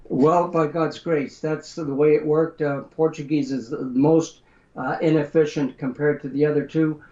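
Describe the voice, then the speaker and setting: An older man with an American accent, raised in Brazil, telling his life story in a measured interview manner with frequent pauses and fillers. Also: a little high, flat delivery, a little mellow. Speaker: guest author. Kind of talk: interview conversation. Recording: roomy and muffled.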